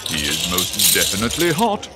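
An actor in a radio drama playing an unflappable, butler-like attendant who speaks formally and politely. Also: male voice, sits low, huge pitch swings, normal speed, loud music behind the voice. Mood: deferential. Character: courteous, unflappable male butler-like attendant, quietly knowing.

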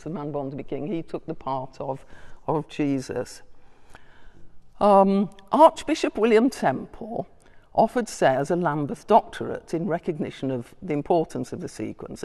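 An older woman giving a scholarly talk from her notes, measured, with small hesitations and asides. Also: sits low, mellow. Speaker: lecturer. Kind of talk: public talk reading from notes. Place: large church interior. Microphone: distant room mic. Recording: long church reverb.

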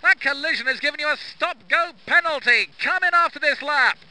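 A man playing a brisk pit crew engineer giving radio messages in a racing video game. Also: extremely high pitch, noisy background. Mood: urgent, instructing.

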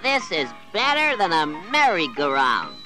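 Excited young children for a storybook, voiced by a man, very high and bouncy. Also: slow, over music, heavily acted. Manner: squealing, excited.

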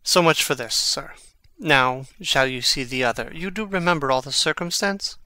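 Male actor as intense young prince, Shakespearean audio drama, crisp voice, close dry sound, theatrical phrasing. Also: medium pitch, bright, forceful tone, no echo. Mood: reflective, confiding. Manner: theatrical, emphatic, deliberate phrasing. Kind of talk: classical Shakespearean dramatic reading.

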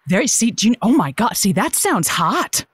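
A woman's voice: mid-range voice, big pitch swings, normal speed, husky, dry recording, clean studio sound. A woman playing an upbeat, encouraging radio advice host who is patient but flustered, in a semi-improvised audio drama. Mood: enthusiastic. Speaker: radio call-in show host.

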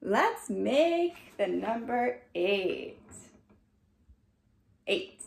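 A young woman reading aloud to small children in a slow, animated, sing-song way, like a dance teacher addressing her class. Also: fairly high pitch, creaky, roomy sound. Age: young adult. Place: small furnished room indoors. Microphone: camera a short distance away.

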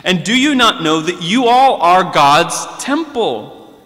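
A man in his thirties preaching a sermon at a pulpit microphone in a large church sanctuary, earnest and deliberate, reading scripture aloud. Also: high-pitched, big pitch swings, pushed and bright. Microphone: pulpit microphone on a stand. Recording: long church reverb.

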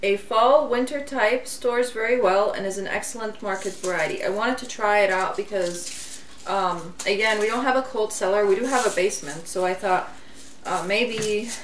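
A woman's voice: mid-range voice, moderate pace. A woman in her thirties from Lebanon, hosting a gardening video and chatting casually with many fillers and giggles. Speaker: gardening channel host. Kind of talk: casual chat, seed haul. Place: kitchen, seated at table. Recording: roomy, distant mic, faint hiss.

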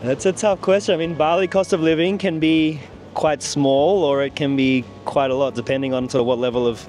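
A man, answering interview questions outdoors into a handheld mic in a relaxed way, thinking aloud. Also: a little high, brisk, a little hiss or hum. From Australia. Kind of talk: casual street interview. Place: outdoors on a beach. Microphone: handheld mic held by interviewer.

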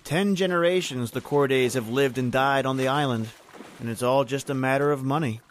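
A man's voice: medium pitch, brisk, a little hiss or hum, close to an everyday voice. A man playing a stoic, evasive boatman in an old-time radio horror drama, speaking tersely and repeating himself. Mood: cryptic, evasive.

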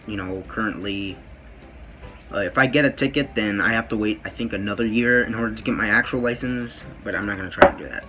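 A teenage boy with a deep voice, rambling casually to his phone camera in a small bedroom, with lots of fillers. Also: bright, forceful tone, slight background noise, low-quality recording. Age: teens. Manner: rambling, many fillers and "freaking". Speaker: vlogger. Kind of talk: casual vlog talk to camera. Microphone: phone camera at close range.

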